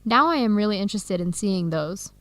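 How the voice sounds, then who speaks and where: An actress with an American accent playing an eager, friendly researcher abroad in an educational radio drama, speaking with enthusiasm. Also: female voice, medium pitch, animated, fairly quick, a bit bright, no room sound, close to an everyday voice. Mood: curious, cheerful. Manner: enthusiastic, encouraging. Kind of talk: radio drama acting, clear educational dialogue.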